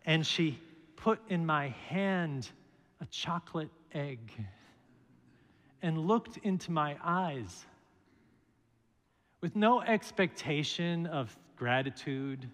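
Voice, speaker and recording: Slow-preaching minister in a reverberant church. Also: male voice, fairly high pitch, lively intonation, a bit bright. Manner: slow, measured preaching with storytelling asides. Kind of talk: sermon. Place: large church sanctuary, at a pulpit. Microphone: pulpit microphone.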